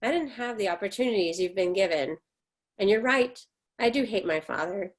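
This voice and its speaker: A woman's voice: mid-range voice, expressive, moderate pace, natural voice. A woman reading the part of an embittered, defensive middle-aged mother in a stage drama, with emphatic, reproachful delivery. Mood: bitter, insistent. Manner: emphatic, reproachful, clipped retorts.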